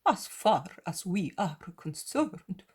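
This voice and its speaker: A woman's voice: on the low side, expressive, drawn-out words, darkish tone, breathy edge, full character voice. A woman reading the lines of a devoted male disciple, pleading in a whisper.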